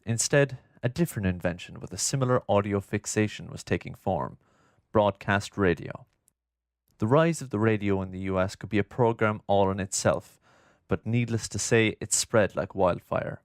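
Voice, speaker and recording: A man narrating a scripted documentary in a formal, measured, literary way, close on the microphone. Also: lowish voice, no room sound. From Ireland. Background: music.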